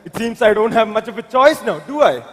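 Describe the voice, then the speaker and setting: A man's voice: high voice, animated, a little fast. A man playing a touchy, guarded doctor in a stage drama, hesitant and defensive. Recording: echoey hall, stage play.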